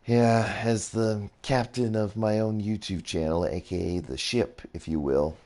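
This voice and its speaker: Low, mellow young gaming streamer. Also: male voice, fairly even intonation, measured pace. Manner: rambling, easily impressed, mild swearing. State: slightly raspy voice. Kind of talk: casual game commentary while touring builds.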